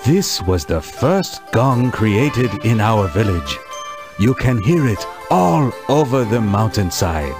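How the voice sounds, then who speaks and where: A man's voice: on the low side, very animated, over music, full character voice. A man playing a kindly, dignified village elder in a children's game, speaking formally and patiently. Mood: gentle, solemn. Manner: measured, formal, ceremonious. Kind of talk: children's educational game voice acting.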